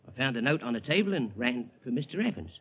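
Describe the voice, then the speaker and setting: An actor playing a dutiful ship's steward in a 1940s radio drama, deferential and factual. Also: male voice, mid-range voice, big pitch swings, fast. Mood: earnest. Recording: tinny vintage radio broadcast.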